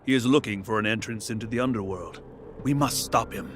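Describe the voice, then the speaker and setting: A man's voice: fairly low pitch, brisk, fairly bright tone, faint music behind, natural voice. An actor playing an earnest, weary warrior king in a video game cutscene. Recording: slightly noisy game screen capture.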